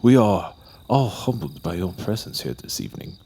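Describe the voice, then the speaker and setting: An obsequious doorman speaks in a low, husky, breathy voice. He is formal and ceremonious, with an eerie, servile courtesy, in an audio drama. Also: big pitch swings, moderate pace, mellow, heavily acted. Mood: unctuous.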